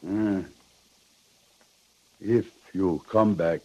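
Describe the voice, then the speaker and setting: Low male voice, very slow, solemn, wary Sioux leader, halting broken English, old radio western. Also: fairly even intonation, warm tone, exaggerated voice. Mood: solemn, reluctant. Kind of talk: 1950s radio western acting. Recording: muffled old radio recording.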